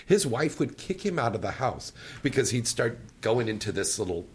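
A woman's voice: rumbling low, sing-song, moderate pace, darkish tone, breathy edge. A woman hosting a casual radio call-in chat, playful and fond of telling stories. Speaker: radio host.

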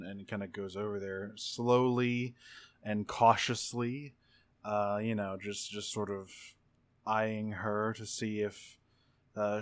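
A man's voice: lowish voice, a bit bright. A man playing a tabletop roleplaying game, casually improvising what his character does in an informal, hedging way. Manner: informal, hedging, self-repeating.

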